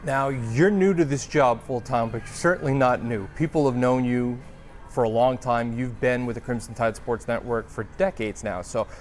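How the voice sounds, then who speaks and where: A man's voice: mid-range voice, fairly even intonation, moderate pace, soft background music. A man working as a sports reporter, asking conversational questions in a television interview.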